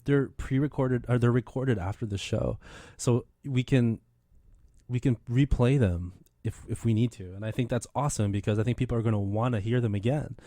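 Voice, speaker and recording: Male radio host, eager and rambling, mellow dark tone, dry studio sound. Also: mid-range voice, moderate pace, dry recording. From Philippine heritage. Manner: enthusiastic, rambling with many restarts. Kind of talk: casual radio interview.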